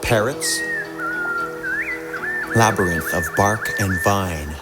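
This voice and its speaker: A man reciting surreal first-person poetry in a deliberate, unhurried way. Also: on the low side. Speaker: poetry reader. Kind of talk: spoken-word poetry reading. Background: music soundscape.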